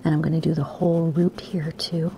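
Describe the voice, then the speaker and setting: Low, gravelly, half-whispered woman teacher. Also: little pitch movement, fairly quick, a little hiss or hum. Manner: soft, half-whispered, gentle instructions. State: raspy, slightly sore throat. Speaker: art teacher for kids. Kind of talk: step-by-step drawing lesson. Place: home room by a window.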